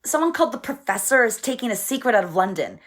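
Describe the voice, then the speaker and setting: Woman acting a proper young lady, skeptical, high voice, very animated intonation, radio drama. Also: quick pace. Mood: earnest. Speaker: actor. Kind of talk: audio play acting.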